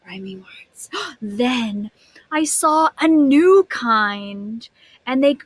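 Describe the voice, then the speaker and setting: Female kindergarten teacher, higher voice, unhurried expressive storytime delivery with drawn-out words, dry close sound. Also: no echo. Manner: expressive, drawn-out words, gasps, questions to listeners. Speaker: kindergarten teacher reading aloud. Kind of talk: animated read-aloud to young children.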